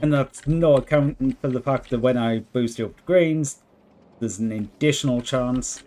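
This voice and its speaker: A man streaming a game live with a worn, hoarse voice, thinking out loud and chatting with viewers. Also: medium pitch, moderate pace. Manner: thinks aloud, chats with viewers. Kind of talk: relaxed live gameplay commentary. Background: faint background music.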